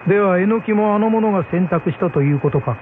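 A man's voice: high voice, fairly quick, voice pushed up, lightly acted. A man voicing a curious, commanding young shogun in a folk tale drama, with lordly, questioning, archaic speech. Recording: thin phone-like band with hum.

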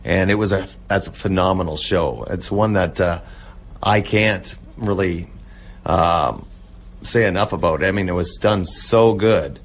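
A man speaking casually as an interview guest, halting and reminiscing as he goes. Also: sits low. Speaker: interview guest, old-time radio collector and restorer. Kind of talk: casual radio interview talk.

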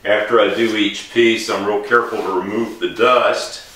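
A man's voice: fairly low pitch, moderate pace, slight background noise. Middle-aged man in an echoey workshop. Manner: relaxed, explains each step while working. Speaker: woodworking instructor. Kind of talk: casual demonstration while working. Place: large woodworking shop indoors. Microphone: camera mic from a distance. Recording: echoey workshop, distant mic.

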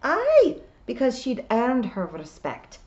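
A woman's voice: mid-range voice, very animated, a little slow, darkish tone, highs cut off, pitched above the performer's own voice. Woman as a proud, prickly ancient male pixie, emphatic. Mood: indignant. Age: nineties. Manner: scolding, dialect-laden, emphatic. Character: proud, prickly ninety-six-year-old male pixie piper.

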